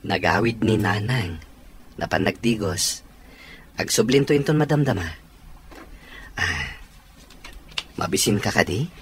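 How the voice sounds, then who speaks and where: A man's voice: lowish voice, a little slow, long pauses, slight background noise, lightly acted. An actor with an Ilocano accent playing an attentive young husband and new father in a radio comedy drama. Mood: gentle, coaxing.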